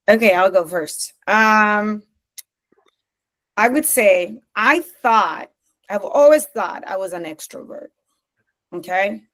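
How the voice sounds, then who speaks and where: A woman from Zambia, a company co-founder, talking in a podcast interview in an animated way, laughing often and restarting her sentences. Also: mid-range voice, slow pace, long pauses.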